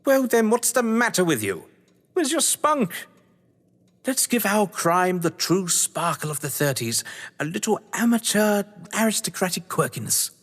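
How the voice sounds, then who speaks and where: An actor playing a whimsical, scheming crime-novelist lord of the manor, with a British accent and a pompous, florid delivery, in a stage-thriller audio drama. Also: male voice, sits high, sing-song, unhurried, a bit bright, exaggerated voice. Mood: exasperated, rousing. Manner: florid, pompous, playfully grandiose. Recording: long hall-like reverb.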